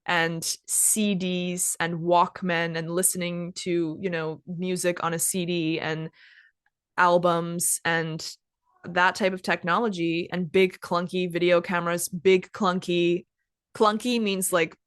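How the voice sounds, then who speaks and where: A woman's voice: on the low side, level tone, clean tone, dry recording, clean studio sound. A woman in her twenties, an English teacher hosting a casual podcast chat, talking with lots of "like" and "kind of" and stopping to explain words. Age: 20s. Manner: chatty, many "like" and "kind of" fillers, pauses to define words.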